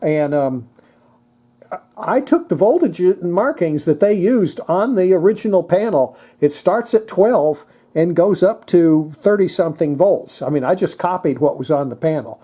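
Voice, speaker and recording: A presenter giving a casual hands-on technical demonstration, thinking aloud in a halting way. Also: mid-range voice, lively intonation, warm tone, breathy edge. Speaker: presenter demonstrating antique radios.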